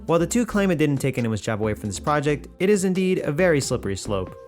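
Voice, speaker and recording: A man narrating a scripted video essay of film criticism in a measured, conversational, opinionated way. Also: a little high, sing-song, darkish tone. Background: background music.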